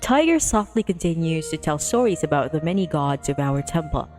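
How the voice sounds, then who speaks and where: A woman's voice: low voice, a little fast, soft background music. A woman livestreaming a visual novel, casually reading narration aloud with a playful manner. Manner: playful, excitable in asides.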